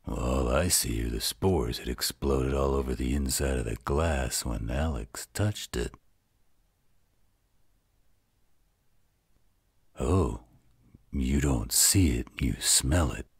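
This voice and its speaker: A gruff demon dad in a fantasy audio drama. The voice is extremely low and very husky, rolling out slowly. He sounds protective and reassuring. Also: no echo, studio quality, exaggerated voice. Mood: concerned, questioning. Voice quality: very deep, gravelly husky voice. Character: gruff, protective male demon father in a fantasy werewolf tale. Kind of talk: fantasy audio roleplay acting.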